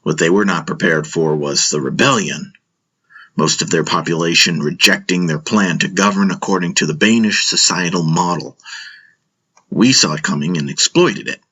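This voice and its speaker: Audio drama role: seasoned spy lecturer played by a man, low and husky, frank, wry, cynical. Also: bright, forceful tone, exaggerated voice. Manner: wry, measured, musing.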